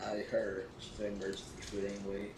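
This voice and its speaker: A man's voice: fairly low pitch, completely flat delivery, fast talker, crowd noise. A man giving casual game playthrough commentary in amused, sarcastic, muttered asides. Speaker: gamer. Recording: echoey, distant mic with clear noise.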